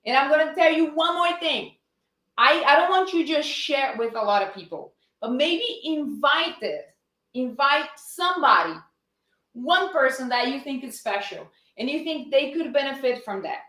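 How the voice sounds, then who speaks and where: A woman's voice: high voice, lively intonation, moderate pace, bright, forceful tone, reverberant, poor audio. A woman with a Brazilian accent, an upbeat fitness coach leading a live-streamed class and chatting with her viewers.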